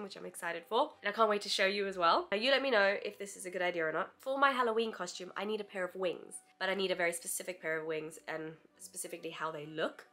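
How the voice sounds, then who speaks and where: A woman's voice: medium pitch, sharp tone. A woman vlogging at home in a casual, bubbly, chatty way, still sick with a cough. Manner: bubbly, chatty, laughs often. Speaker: craft vlogger. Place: home, spare craft bedroom.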